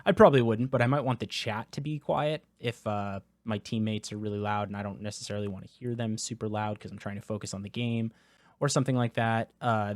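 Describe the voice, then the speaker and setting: A man casually walking through software settings in a product review, talking into a close dynamic USB microphone. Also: fairly low pitch, no echo. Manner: casual, explanatory, with filler words. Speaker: tech reviewer.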